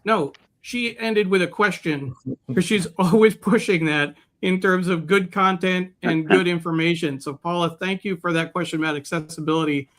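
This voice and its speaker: Higher-pitched man co-hosting a livestream. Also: normal speed, a bit bright. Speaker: co-host of a livestream show. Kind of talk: casual livestream talk.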